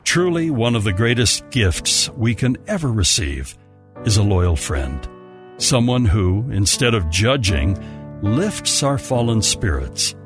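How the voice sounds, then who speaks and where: A man reads a scripted story as narrator. His voice sits a bit low and rises and falls expressively, with light music behind it. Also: very animated, takes it slowly.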